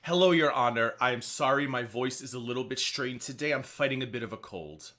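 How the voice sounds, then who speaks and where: Man playing a female lawyer, strained voice, has a cold, bright edgy tone, distant mic, courtroom roleplay. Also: medium pitch, brisk, close to an everyday voice. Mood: polite.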